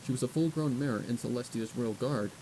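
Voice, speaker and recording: A man's voice: medium pitch, a little fast, warm tone, slight background noise, low-quality recording. A man reading a story aloud as an audiobook narrator, in a scripted narration style.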